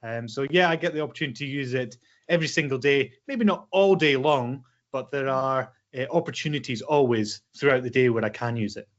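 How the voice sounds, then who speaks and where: A man's voice: mid-range voice, brisk, hardly any pauses. A Scottish man, a presenter and filmmaker, talking easily and simply to young schoolchildren as a guest. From Scotland. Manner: easygoing, explains simply, with ums and uhs. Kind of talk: friendly guest talk to children. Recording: lo-fi video call audio.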